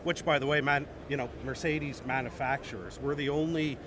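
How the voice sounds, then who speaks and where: A man's voice: mid-range voice, fairly even intonation, a little fast. A man speaking as a company representative in an informal trade show interview, emphatic and repeating phrases for stress. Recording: noisy busy venue background.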